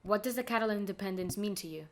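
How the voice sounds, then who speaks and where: A woman's voice: mid-range voice, racing, slight background noise. A woman narrating a scripted introduction and asking the interview questions. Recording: music underneath.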